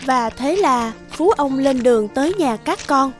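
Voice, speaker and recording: A woman reading scripted storybook narration for an animated tale. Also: high-pitched, a little slow, faint music behind.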